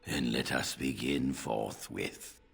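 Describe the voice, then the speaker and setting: A man playing a grave, learned mage in a video game, speaking formally and deliberately in a gravelly voice. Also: rumbling low, exaggerated voice. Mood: grave, measured. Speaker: game character.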